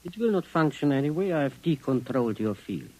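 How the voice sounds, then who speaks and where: An actor playing a calm, authoritative Martian of about sixty, measured and formal, in 1950s radio sci-fi style. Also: male voice, mid-range voice, no echo, poor audio. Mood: calm, commanding.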